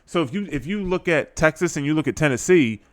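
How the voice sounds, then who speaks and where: A man's voice: fairly high pitch, animated, quick pace, no echo, clean studio sound. A man co-hosting a podcast in casual chat, explaining at length and restarting his sentences. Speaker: podcast co-host.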